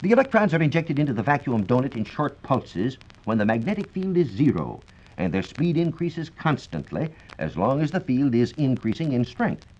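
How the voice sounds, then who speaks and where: A man's voice: mid-range voice, very animated, normal speed, darkish tone. An American man giving a formal, scripted explanation as a science reporter on 1940s radio. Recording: dull, lo-fi 1940s radio recording.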